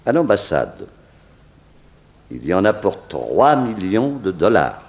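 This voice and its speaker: An actor playing a solemn, authoritative head of state in a 1960s French radio drama, speaking ceremoniously. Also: male voice, sits low, sing-song, slow pace, darkish tone, exaggerated voice. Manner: formal, ceremonious. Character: solemn, stately male American president, courteous and authoritative.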